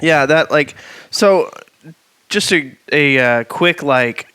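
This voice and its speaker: A man's voice: a little high, slow pace, bright, forceful tone, clean tone, no room sound. A man co-hosting a podcast, asking questions in a hesitant, rambling way. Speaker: podcast co-host. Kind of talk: casual interview questions.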